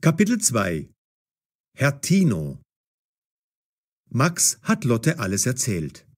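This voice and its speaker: Slow, animated male story narrator. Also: medium pitch. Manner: deliberate, clearly enunciated, expressive. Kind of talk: scripted story narration for language learners.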